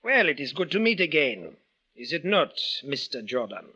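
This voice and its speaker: Raspy, menacing villain. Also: male voice, a little high, big pitch swings, moderate pace, pushed and bright, full character voice. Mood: menacingly polite. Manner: formal, stilted foreigner's phrasing without contractions. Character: tall, big, menacing, vengeful bandaged foreign man from Damascus. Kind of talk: 1940s-50s radio drama acting. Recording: dull old radio recording.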